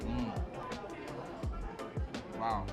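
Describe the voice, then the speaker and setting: A chatty man hosts a food vlog, his voice a little hoarse and his delivery upbeat and excitable. Clear music plays under him, with street traffic heard outdoors. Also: lowish voice, very slow. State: slightly hoarse. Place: outdoors on a city street and inside restaurants.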